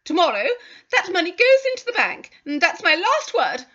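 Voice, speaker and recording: A woman voicing a panicking, shrill middle-aged lady of the house who is close to tears. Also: huge pitch swings, moderate pace, sharp tone, full character voice. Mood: shrill, bossy. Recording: dull lo-fi recording.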